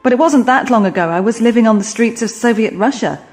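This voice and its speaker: An actress playing a plucky young companion in an audio drama, informal, chatty and quippy. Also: female voice, a little high, fast talker, soft background music, lightly acted. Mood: cheeky.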